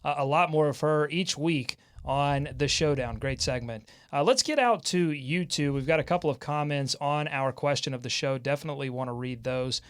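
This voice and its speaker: A man hosting a live sports talk show in a casual way, often halting as he searches for words. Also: on the high side, smooth. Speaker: sports podcast host.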